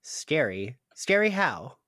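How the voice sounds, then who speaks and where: Woman as blunt, animated ex-demon girlfriend. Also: very deep voice, slow pace, dry recording, studio quality, heavily acted. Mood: puzzled, eager. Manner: blunt, insistent. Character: blunt, literal-minded, needy young ex-demon woman. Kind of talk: comedic script table read.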